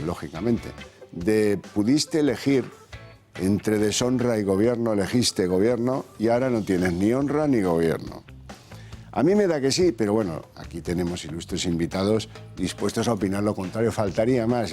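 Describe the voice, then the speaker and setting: Low-pitched guy hosting a tv debate, ironic, lots of rhetorical questions, faint music underneath. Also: warm tone. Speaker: talk show host. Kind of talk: political talk show hosting. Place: TV studio.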